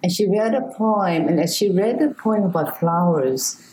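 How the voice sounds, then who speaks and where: A woman's voice: fairly low pitch, dark tone, slight background noise, low-quality recording. An older woman from Hawaii with a Hawaiian accent, a poet reading her work and telling stories of her childhood in an interview. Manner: slow, measured, reflective storytelling. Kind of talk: poetry reading and interview answers.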